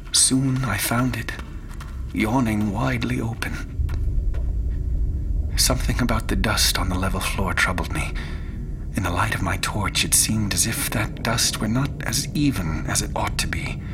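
Guy acting as a haunted explorer telling his horror story, intense n deliberate, mid-pitched, noisy ambience behind. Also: natural voice. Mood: hushed dread. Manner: intense, hushed at times, deliberate phrasing. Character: haunted male explorer recounting a terrifying underground ordeal. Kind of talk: dramatic first-person horror narration for radio drama. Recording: clear background noise and ambience.

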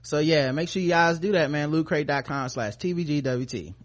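A man's voice: on the high side, brisk. An American man co-hosting a podcast in casual, profane, slangy chat, playfully addressing his listeners. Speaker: podcast co-host.

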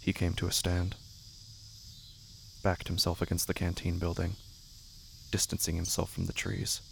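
A man giving a scripted, dramatic narration of a horror story in a deliberate, intense manner. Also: sits low, completely flat delivery, creaky, slight background noise. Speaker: narrator of a horror fiction podcast.

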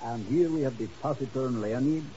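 An actor in a 1950s radio drama playing a suave European baron with florid, flattering, elaborately courteous talk. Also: male voice, mid-range voice, a little fast, close to an everyday voice. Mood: effusive. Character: suave, flowery, theatrically gallant European baron and rogue. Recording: noisy, muffled old radio recording.

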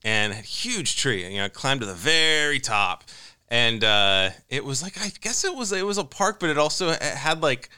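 Bright, clear-voiced man telling casual anecdotes. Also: mid-range voice, very animated, normal speed. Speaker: talk show panelist.

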